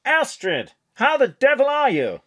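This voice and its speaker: Courtly spymaster, lilting and expressive. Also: sits high, unhurried, heavily acted. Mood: genial, concerned. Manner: genteel, old-fashioned, solicitous. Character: courtly, fussy male ex-diplomat spymaster, fondly concerned. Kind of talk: audio drama dialogue over a phone call.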